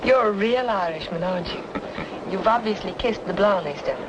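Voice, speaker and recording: A woman's voice: mid-range voice, fairly quick, highs cut off, background noise, natural voice. An actress playing a skeptical, wry young woman with a dry, polite manner in an old radio noir drama. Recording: vintage radio drama, loud music behind.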